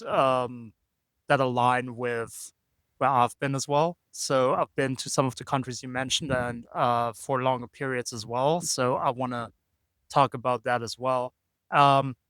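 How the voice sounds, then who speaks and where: A man's voice: medium pitch, fairly bright tone, smooth, no room sound. Rambling male podcast host. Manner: hesitant, rambling, full of ums and "so, yeah". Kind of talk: casual interview chat.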